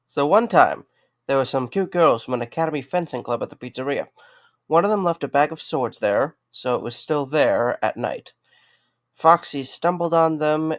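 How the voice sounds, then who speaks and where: A man's voice: on the high side, no echo, studio quality. A man playing a sarcastic, easygoing young night guard in a conversational audio drama. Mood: amused storytelling. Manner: wry, conversational.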